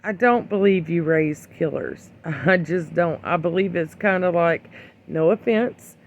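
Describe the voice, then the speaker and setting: An opinion vlogger giving casual, rambling commentary in a blunt, admonishing way, with hesitant pauses. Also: medium pitch. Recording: dull lo-fi sound.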